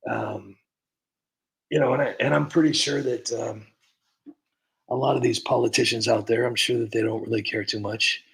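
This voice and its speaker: A man's voice: mid-range voice, fairly even intonation, normal speed, gravelly, pauses often, a bit bright, rough recording. A man hosting a comedy livestream, chatting casually and rambling with lots of fillers and restarts. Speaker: comedy channel host.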